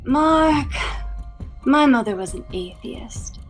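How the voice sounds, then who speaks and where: Actress doing cool corporate boss lady, slightly high voice, slow persuasive speeches, bit of music behind. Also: animated. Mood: measured, persuasive. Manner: measured, deliberate, speechifying. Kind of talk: live table read of a TV script.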